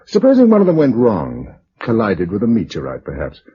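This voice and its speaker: An actor with an English accent playing a calm, practical, protective man surviving a catastrophe in a vintage radio drama, speaking in a measured way. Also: lowish voice, breathy edge, heavily acted. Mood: matter-of-fact. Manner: measured, matter-of-fact. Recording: dull old radio recording with noise.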